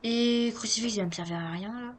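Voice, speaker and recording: Higher-pitched woman muttering through gameplay. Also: fairly quick. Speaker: video game streamer. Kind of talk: casual gameplay commentary, thinking aloud. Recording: clear background noise, game ambience.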